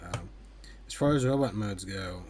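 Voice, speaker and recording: A man's voice: deep voice, moderate pace. A man giving a casual hands-on toy review in a rambling, hesitant, conversational way. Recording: clear steady background noise.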